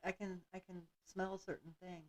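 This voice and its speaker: Woman, fifties, low voice, dry one-liners, casual chat. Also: level tone, a little fast. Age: 50s. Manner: dry, brief quips. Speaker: livestream co-host.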